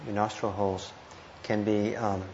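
A man teaching meditation, giving guided instructions with gentle, hesitant phrasing and pauses. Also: on the low side, little pitch movement. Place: indoor meditation hall. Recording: muffled, roomy live talk with noise.

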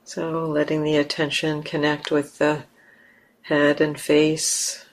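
A woman leading a guided meditation as a teacher, speaking gently in short, unhurried phrases with long pauses between them. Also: sits low, monotone.

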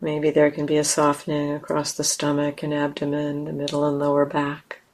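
A woman teaching a guided meditation in a gentle, unhurried way with long pauses. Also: low-pitched, completely flat delivery.

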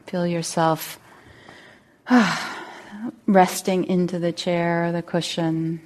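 A woman leads a guided meditation as a teacher, speaking gently and unhurriedly with long pauses. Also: on the low side, little pitch movement.

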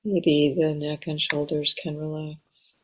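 A woman leading a guided meditation in a gentle, measured way, leaving long pauses. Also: low voice, level tone, slight background noise, rough recording. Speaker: meditation teacher. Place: meditation center.

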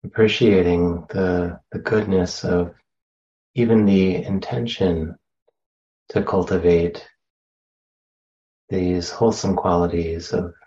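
A man guides a meditation in a low, mellow voice. His delivery is very slow and gentle, with long pauses between phrases. The sound is slightly dull. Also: fairly even intonation. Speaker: meditation teacher.

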